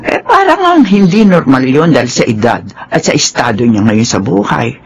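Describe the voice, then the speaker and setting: A man's voice: mid-range voice, big pitch swings, normal speed, creaky, slightly rough, exaggerated voice. A man voicing a concerned, advising mother of a grown son, with a Filipino accent, in a radio drama. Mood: thoughtful.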